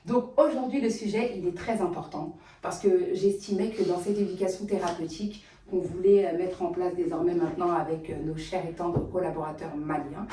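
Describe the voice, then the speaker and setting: A woman's voice: on the low side, fairly even intonation, a little fast, hardly any pauses, warm tone, slight background noise. A woman hosting an interview, effusive in her thanks and asking questions. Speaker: host. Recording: echoey room, from far off.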